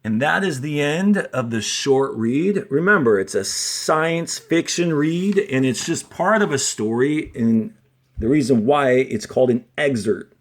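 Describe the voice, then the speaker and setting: A male schoolteacher reads aloud for young pupils. His delivery is slow and deliberate, with clear instructive pauses. The pitch moves expressively to lift key words. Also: lively intonation. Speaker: fourth-grade teacher reading aloud to the class.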